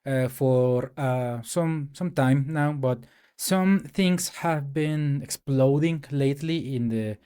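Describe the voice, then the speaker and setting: Mexican-accented man, native Spanish speaker, slow halting casual rant, lots of fillers, mid pitch. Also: warm tone, clear, resonant tone. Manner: hesitant, many fillers and restarts. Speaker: tech YouTuber. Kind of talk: casual solo rant to camera.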